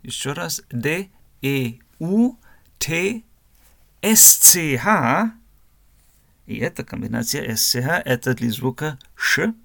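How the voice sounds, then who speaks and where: A man from Germany, teaching a language lesson slowly, spelling words out letter by letter and repeating phrases. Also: mid-range voice, gravelly, fairly bright tone.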